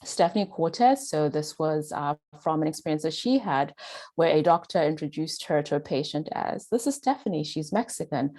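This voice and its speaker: A woman's voice: deep voice, lively intonation, normal speed, dark tone. A woman with a Zimbabwean British accent giving a faculty presentation, speaking earnestly with frequent "uh" and "um". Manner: earnest, filler-heavy delivery with frequent "uh" and "um".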